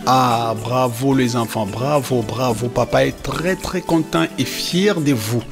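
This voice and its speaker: A man's voice: mid-range voice, unhurried, music in the background, close to an everyday voice. A man playing a devout, proud, affectionate father who teaches his children, effusive and encouraging, repeating phrases for emphasis. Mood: proud, joyful.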